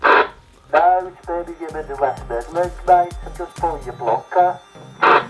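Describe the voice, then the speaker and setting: A man's voice: a little high, a little slow, a bit bright. A man bantering over CB radio in slangy, joking radio-operator talk. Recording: CB radio transmission, music behind.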